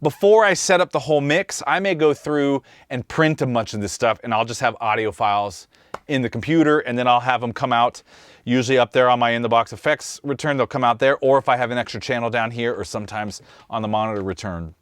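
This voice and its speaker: A man from Kansas talks through his studio mix in an easygoing, conversational way, like a host showing his own gear. His voice is smooth and clear and sits at an ordinary pitch. The sound is dry and close, with no room echo. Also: brisk, a bit bright. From Kansas, USA. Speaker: host, mixing engineer and drummer. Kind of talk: casual studio tutorial. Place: recording studio control room.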